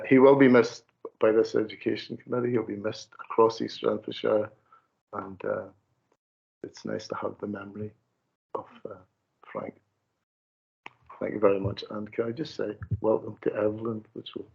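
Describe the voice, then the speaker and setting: A man's voice: lowish voice, little pitch movement, moderate pace, creaky, long pauses, a little mellow, breathy edge. A man, a councillor, giving an earnest tribute at a committee meeting, repeating words as he goes. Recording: dull lo-fi meeting audio.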